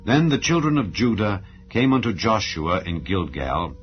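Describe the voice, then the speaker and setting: Deep-voiced man solemnly reading scripture over music. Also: big pitch swings, moderate pace. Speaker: Bible narrator. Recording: dull lo-fi audio under music. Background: background music.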